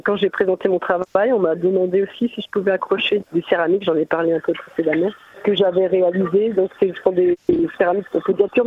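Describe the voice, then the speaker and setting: Husky woman on a narrow phone-like line. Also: fairly low pitch. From Mamers, France. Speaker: visual artist, interview guest. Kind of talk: conversational radio interview answers. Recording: muffled phone-like narrow band.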